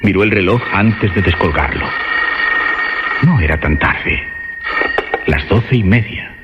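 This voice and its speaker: Deep, expressive male horror narrator. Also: over loud music. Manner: measured, suspenseful phrasing with pauses. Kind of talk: dramatic scripted horror narration. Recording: muffled lo-fi radio drama recording.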